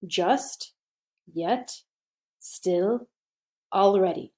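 A woman reading a scripted grammar lesson aloud, slowly and deliberately with pauses between phrases. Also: medium pitch, mellow. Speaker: English teacher.

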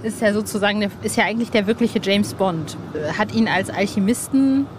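A woman's voice: medium pitch, fairly quick. A woman hosting an informal interview, chatting in a colloquial way and restating her points. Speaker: interviewer, host. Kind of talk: casual, informal interview chat. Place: outdoors on a roof terrace. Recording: clear outdoor city background noise.

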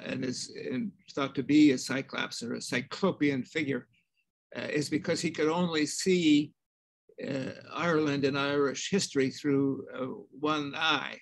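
Older man with a gravelly, higher voice. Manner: measured, halting with many "uh" pauses. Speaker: author interviewing the director. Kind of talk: thoughtful discussion. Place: home room with bookshelves. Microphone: video call webcam.

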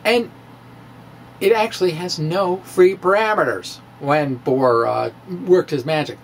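A man's voice: fairly high pitch, a little slow, slight background noise. A middle-aged man giving a dramatic, emphatic science reading to camera, stretching words and dropping in asides. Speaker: science video blogger. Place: small room with bookshelves, indoors. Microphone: microphone on a stand, close in front.

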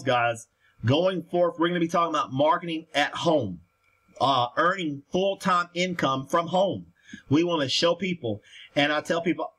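A man's voice: a little high, a bit bright. A man hosting a conference call, giving an emphatic motivational marketing talk that addresses listeners directly and repeats itself.

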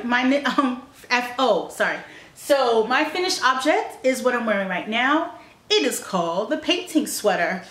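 A woman's voice: fairly high pitch, a bit bright, reverberant, slight background noise. A young woman hosting a knitting video podcast, chatting to the camera in an upbeat way, with many fillers and restarts, recorded at home. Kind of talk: casual chat to camera. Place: small indoor room at home.

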